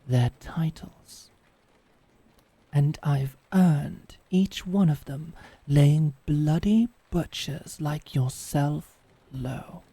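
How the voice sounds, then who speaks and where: A woman's voice: deep voice, very slow, dark tone, slightly rough, dry recording, full character voice. A woman playing a sardonic, defiant lady knight in a medieval fantasy audio drama, with theatrical, formal phrasing. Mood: taunting, defiant. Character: defiant, sardonic lady knight protecting her princess lover.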